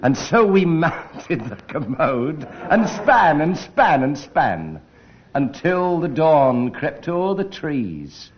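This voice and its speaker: A man performs comic lines playfully as a radio panellist. He takes his time and swings his pitch around a lot. The vintage recording sounds dull. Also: very animated, slow pace. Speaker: comedy panellist. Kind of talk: comic recital and banter.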